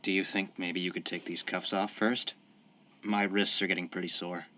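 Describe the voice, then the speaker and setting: A man's voice: low voice, flat delivery, normal speed, sharp tone. A man playing a desperate young family man turned mob killer in a radio crime drama, earnest and defensive. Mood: subdued, weary. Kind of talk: old-time radio crime drama acting.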